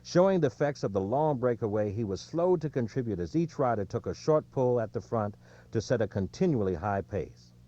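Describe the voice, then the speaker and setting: A man reading an audiobook in a measured, clearly scripted storytelling delivery, recorded with slightly lo-fi sound. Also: medium pitch, lively intonation, dark tone. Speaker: audiobook narrator.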